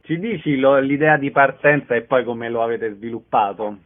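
A man's voice: mid-range voice, level tone, moderate pace, phone-quality sound. A man interviewing a guest in a casual, conversational radio style.

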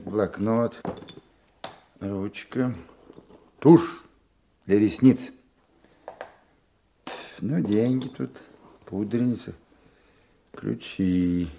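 An actor playing a seasoned older police chief in a Russian radio drama, a fatherly mentor who speaks in a measured, earnest, reassuring way. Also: on the low side, creaky, dark tone, full character voice. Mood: businesslike.

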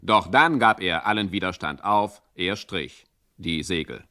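A male radio drama narrator. His fairly low voice is bright and forceful, telling the story in a wry, scripted style. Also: a little slow.

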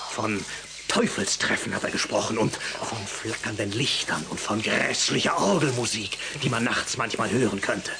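A man's voice: medium pitch, gravelly, background noise, natural voice. A man playing an adventurous, enthusiastic middle-aged father in a radio play, eager and theatrical, brushing off worries. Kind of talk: German radio play dialogue.

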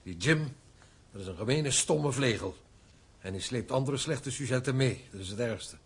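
Older pastor, husky, measured, grave, concerned, kindly father-in-law, radio play. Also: medium pitch, expressive. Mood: disapproving.

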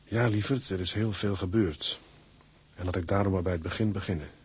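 A man's voice: low voice, brisk, husky. An actor playing an affectionate seaman who narrates a letter home to his wife in a radio drama. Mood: fond, storytelling.

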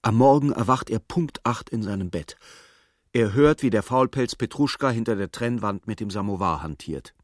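Husky, low-voiced storyteller. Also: male voice, lively intonation, dry recording, studio quality. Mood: measured. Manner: measured, dramatic, present-tense storytelling. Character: sober male storyteller following a troubled clerk. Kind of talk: scripted literary narration in a radio play.

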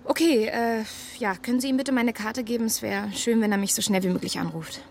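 An actress playing an awkwardly friendly police officer in a naturalistic radio drama, questioning gently and hesitantly. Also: female voice, on the high side, fairly even intonation, brisk, vocal fry, slight background noise. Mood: polite, probing.

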